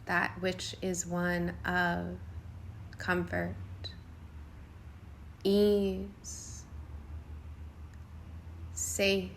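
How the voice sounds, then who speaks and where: Woman, meditation guide, extremely slow delivery, gravelly creak, soothing, long pauses, some background noise. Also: fairly low pitch, flat delivery. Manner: unhurried, soothing, short phrases with long pauses. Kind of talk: guided yoga nidra meditation.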